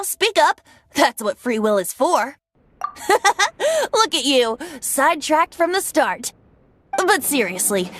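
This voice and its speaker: A woman's voice: sits high, a bit bright. A woman voicing a playful, boastful young male bard in a video game, with theatrical, teasing delivery and flowery turns of phrase.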